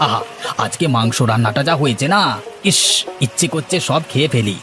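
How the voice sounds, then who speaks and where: A man's voice: mid-range voice, lively intonation, a little fast, over music, natural voice. A man voicing a lovesick, food-loving village husband in a cartoon, with an exclamatory, sighing manner. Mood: delighted. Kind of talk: cartoon character acting.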